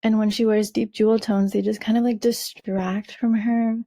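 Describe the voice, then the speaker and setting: Woman host, raspy, mellow tone, dry close sound, calm explainer. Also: mid-range voice, no room sound. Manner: measured, friendly explaining with fillers and restarts. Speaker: color analysis host. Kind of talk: explaining over a slideshow.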